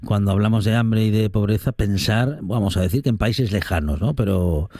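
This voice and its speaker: Low, mellow-voiced male radio interviewer. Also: dry recording. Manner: measured questions with fillers.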